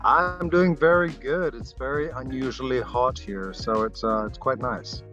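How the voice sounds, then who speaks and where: A man from Barbados, a guest wood carver, talking in a relaxed interview with frequent fillers and restarts. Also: mid-range voice, a little slow, highs cut off, faint music behind.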